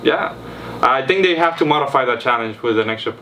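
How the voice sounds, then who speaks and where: Young man, from Cuba, rambling storyteller, mid pitch, recorded from a distance. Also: animated, a little fast, bright, forceful tone. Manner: rambling, repeats words, acts out quotes. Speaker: car vlog host. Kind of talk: casual storytelling chat. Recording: distant mic with faint noise.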